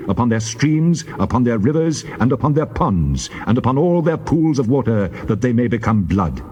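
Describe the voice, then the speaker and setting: A man playing God as a solemn, commanding deity in a dramatized scripture reading, measured and declamatory. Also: medium pitch, darkish tone, a little hiss or hum, close to an everyday voice.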